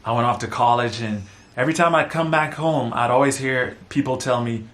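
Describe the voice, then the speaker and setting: A man from the Bahamas co-hosting a relaxed chat with his wife, laughing easily and repeating words for emphasis. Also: mid-range voice, fairly quick. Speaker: co-host. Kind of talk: casual couple chat.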